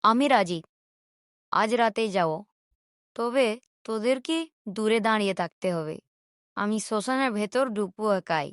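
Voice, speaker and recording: A woman's voice: medium pitch, animated, measured pace, long pauses, smooth, no room sound, studio quality, lightly acted. A woman voicing a bold, skeptical village boy in a cartoon, with a defiant, cocky manner.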